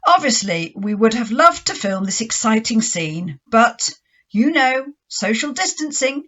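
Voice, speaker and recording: A woman's voice: a little high, expressive, unhurried, fairly bright tone, lo-fi sound. A woman playing a wry pantomime storyteller, delivering theatrical scripted narration.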